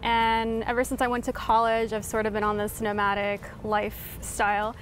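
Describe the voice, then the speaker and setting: A woman in her thirties, from Chile, answering interview questions in a studio in a friendly, relaxed, explanatory way. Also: on the high side, moderate pace. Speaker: guest curator. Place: dark TV studio.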